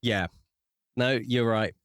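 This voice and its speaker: A man's voice: mid-range voice, steady tone, takes it slowly, a bit bright, dry recording, studio quality. A man co-hosting a podcast in a casual, conversational style. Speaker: podcast co-host discussing books.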